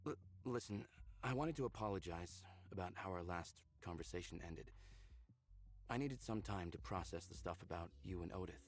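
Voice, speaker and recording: A man voicing a hesitant, apologetic, curious young man in a visual novel, halting and sheepish as he speaks. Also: deep voice, lively intonation.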